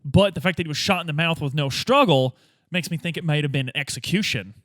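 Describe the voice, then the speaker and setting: A man with a slightly high voice chats casually as a podcast host and roleplay player. He is jokey and halting in delivery. The recording is dry and close. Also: fairly bright tone, no echo.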